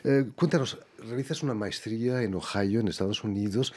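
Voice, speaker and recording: A man with a Spanish accent, a radio interviewer asking measured questions and ending them with tag questions. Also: fairly low pitch, a little mellow, a little hiss or hum. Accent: Spain Spanish.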